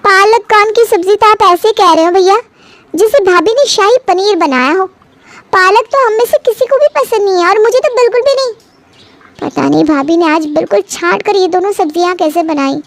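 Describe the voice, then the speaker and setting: Woman doing sulky picky young nanad, super high voice, sarcastic cartoon whining, no echo. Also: moderate pace. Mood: indignant. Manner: pouty, exasperated. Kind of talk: cartoon family story acting.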